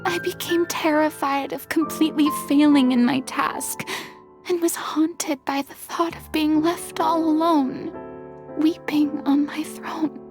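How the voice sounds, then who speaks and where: A woman voicing a lonely, remorseful young former goddess in a video game, speaking softly and confessionally, often near a whisper. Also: high-pitched, steady tone, measured pace. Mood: wistful. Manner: soft, confessional, often hushed. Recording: game audio with faint music.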